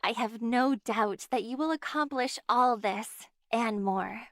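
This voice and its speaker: Aloof gentle nurse, high voice, slow measured pace, calm, anime game. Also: female voice, no echo, studio quality. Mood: gentle, soothing.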